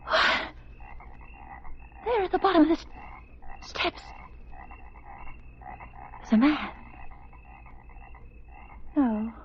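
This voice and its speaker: An actress playing an anxious woman walking alone at night in a vintage radio suspense play, talking to herself in halting, breathy, often whispered phrases. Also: high voice, animated, a little hiss or hum, full character voice. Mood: panicked. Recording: muffled old radio recording with music.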